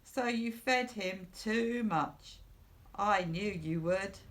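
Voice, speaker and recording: A woman voicing a resigned, chiding man in a storybook, speaking in a measured, knowing way. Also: medium pitch, fairly bright tone.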